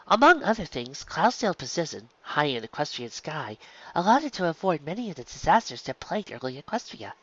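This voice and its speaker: A woman's voice: deep voice, sing-song, slightly rough, dull audio, exaggerated voice. Woman as a patient, lilting pony schoolteacher. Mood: questioning. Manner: measured, instructive, questioning. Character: patient adult female pony schoolteacher, gently stern.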